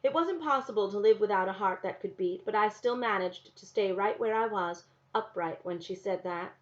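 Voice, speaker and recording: A woman reads a novel aloud to her class, calm and unhurried, her voice at a medium pitch. The recording is a little dull and muffled, lo-fi rather than crisp. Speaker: teacher reading to a class.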